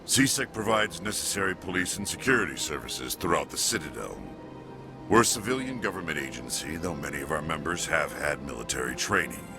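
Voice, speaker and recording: An extremely low, creaky voice for a proud alien police chief in a video game. He speaks curtly and with stern authority, openly disapproving. Music plays clearly behind him. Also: expressive, a little fast. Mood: businesslike. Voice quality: gravelly. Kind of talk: video game dialogue acting.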